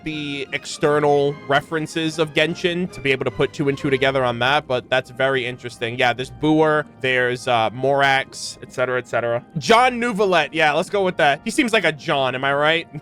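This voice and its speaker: Higher-pitched young man, enthusiastic game commentary. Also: fairly bright tone. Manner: enthusiastic, informal, rambling. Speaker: gaming lore reaction host. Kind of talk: casual commentary and lore reading.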